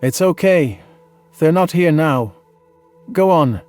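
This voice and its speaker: A man reading a dramatized science fiction story in the first person, earnest and measured with a dramatic delivery. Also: on the high side, animated, a little mellow, dry recording, faint music behind. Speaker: audiobook narrator.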